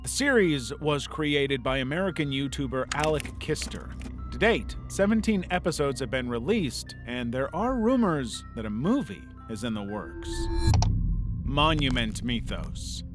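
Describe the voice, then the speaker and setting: A man's voice: medium pitch, very animated, a little slow. Man, mid-range voice, scripted video essay narrator, light background music.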